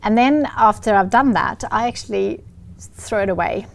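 A middle-aged woman from Sweden with a Swedish accent, talking in an interview as a guest, often pausing with fillers and restarting. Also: medium pitch, gravelly. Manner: hesitant, many fillers and restarts. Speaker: guest, business founder. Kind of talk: casual interview talk.

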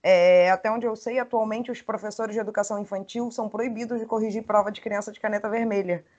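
A woman's voice: mid-range voice, steady tone, quick pace, a little mellow, lo-fi sound. Woman co-host, thoughtful casual talk. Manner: thoughtful, adds on to others' points. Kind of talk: casual interview chat.

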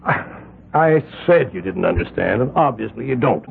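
Rich bully mill owner, gravelly and husky, voice swoops all over, old thin radio sound. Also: male voice, mid-range voice, expressive, normal speed, heavily acted. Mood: pleading. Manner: blustering, swings from soft to loud. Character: domineering rich male mill owner, vigilante leader. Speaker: vigilante group leader. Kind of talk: 1970s radio drama acting. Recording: narrow-band old radio broadcast.

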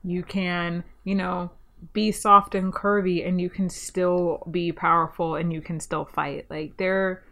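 A young woman talks through a solo podcast in a slightly low voice, eager and chatty, with plenty of ums along the way. Her nose sounds a little stuffy from a cold. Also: little pitch movement, measured pace, creaky, a bit bright. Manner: enthusiastic, chatty, frequent ums. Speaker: podcast host.

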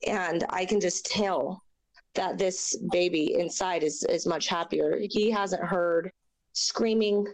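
A young woman with a raspy, hoarse voice from a chest cold, sharing her story as an interview guest in a halting, earnest way with many fillers. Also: fairly low pitch, fairly even intonation, low-quality recording. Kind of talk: personal testimony in a podcast interview.

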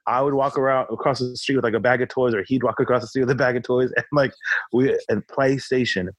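A man's voice: medium pitch, steady tone, fast talker, no echo. A young man in casual chat, rambling through stories, filling them with "like" and "literally".

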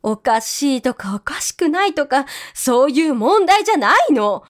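A woman's voice: high voice, very animated, normal speed. A woman acting a strict, anxious mother in a voice drama, talking in blunt everyday speech. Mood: exasperated, firm.

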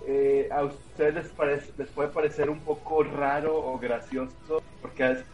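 A man narrating and explaining rules as game master of a tabletop game, relaxed, with many fillers. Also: on the high side, unhurried, lo-fi sound, faint music behind.

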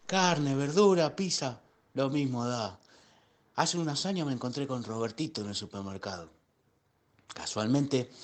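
A man's voice: fairly high pitch, natural voice. A man playing a nostalgic middle-aged Argentine who rambles and repeats himself in a comic radio play voice message. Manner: rambling, repetitive.

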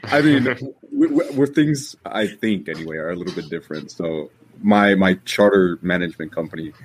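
A man with a deep voice speaks casually on an education podcast panel. There is faint noise behind him in the recording. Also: lively intonation, normal speed. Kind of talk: casual chat.

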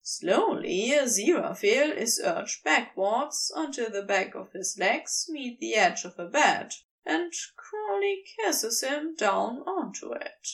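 Slow, expressive woman reading a story. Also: fairly high pitch, gravelly, distant mic. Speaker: podfic reader. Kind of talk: scripted story narration, expressive audiobook reading.

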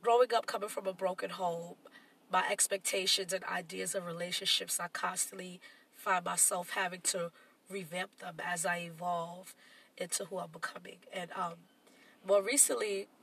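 A woman's voice: lowish voice, gravelly, fairly bright tone, breathy edge, recorded from a distance. A woman co-hosting a casual talk show, sounding congested and nasal, who explains her points at length and reflects on her own experience. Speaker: podcast co-host. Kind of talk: casual chat.

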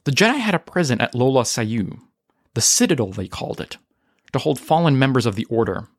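A firm, principled stateswoman of a rebellion, acted by a man, speaking formally in an audio drama. Delivery is measured but expressive, recorded dry. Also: mid-range voice, dry recording. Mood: measured, pragmatic. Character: composed, principled, firm female rebel leader. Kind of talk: audio drama character reading.

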